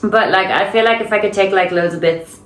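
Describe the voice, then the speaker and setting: A woman's voice: medium pitch, steady tone, quick pace, a bit bright. A young Irish woman co-hosting a podcast, chatting casually and laughing often. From Ireland. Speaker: podcast co-host. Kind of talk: casual chat. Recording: roomy with faint background hiss.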